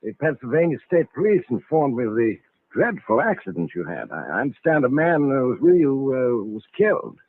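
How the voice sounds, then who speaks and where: An actor playing a courteous, solemn family attorney in a vintage radio drama, formal and slightly hesitant. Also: male voice, medium pitch, very animated. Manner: formal, hesitant with small pauses. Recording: tinny, noisy vintage radio recording.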